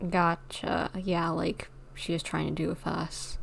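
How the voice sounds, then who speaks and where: A woman's voice: fairly low pitch, level tone, unhurried, gravelly, a little hiss or hum. A woman streaming a video game, commenting casually and thinking aloud in an informal, conversational way. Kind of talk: casual livestream commentary and dialogue read-along.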